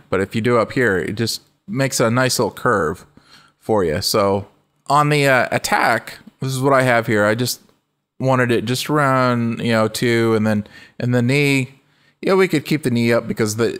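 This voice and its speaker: A middle-aged man with a low voice talks through his production steps in an easygoing, rambling way. He trips over his words and restarts often, laughing easily as he goes. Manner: relaxed, rambling, self-correcting, laughs easily. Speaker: music production tutorial host. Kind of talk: casual screen-recorded walkthrough.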